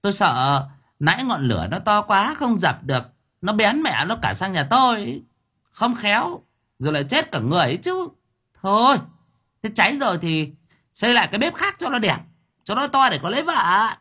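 A man voicing a sharp-tongued woman neighbor in a shrieking falsetto. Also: very animated, normal speed, slightly rough, heavily acted. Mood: mocking. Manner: shrieking, wailing, high falsetto.

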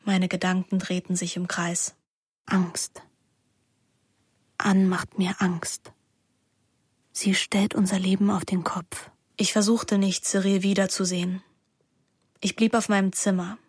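A woman's voice: mid-range voice, flat delivery, measured pace, long pauses, breathy edge, no echo, studio quality. A young woman playing a scheming, anguished teenage girl who narrates her own story in a radio drama, intimate and introspective. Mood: brooding, introspective.

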